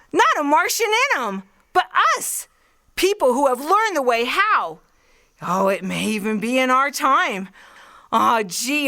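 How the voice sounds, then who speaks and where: A woman performs a scheming, fanatical artillery woman for an audio drama. Her voice sits fairly high and cuts through sharp and intense. She rants with cocky, folksy, colloquial swagger. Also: full character voice. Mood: fevered, power-hungry. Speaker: acting class student.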